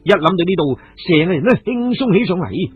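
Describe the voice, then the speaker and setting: Male narrator, Cantonese accent, dramatised radio storytelling: highish, gravel-husky voice, faint music behind. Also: lively intonation, a little fast, dry recording. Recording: muffled phone-like radio audio, music behind.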